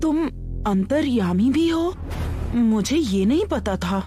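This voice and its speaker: A woman's voice: a little high, animated, slow pace, warm tone, soft background music. A woman voicing a bewildered, plain-spoken young village girl of marrying age in a storybook tale, dramatic and questioning. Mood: puzzled.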